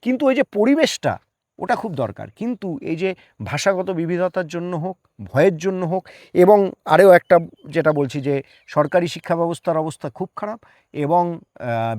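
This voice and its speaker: Guy, pretty high voice, emphatic opinions, asks rhetorical questions, dry sound. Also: expressive, normal speed, a little mellow, dry recording. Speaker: interview guest, journalist. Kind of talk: earnest opinionated conversation.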